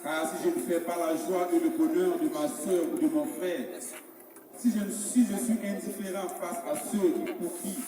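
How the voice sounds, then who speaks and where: A man reciting devotional poetry in a solemn, measured, rhetorical way. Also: sits high, fairly even intonation. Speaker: poet reciting his own religious poem. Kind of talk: declaimed French spoken-word poetry with a sung opening hymn. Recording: very echoey hall, distant, music behind. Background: music.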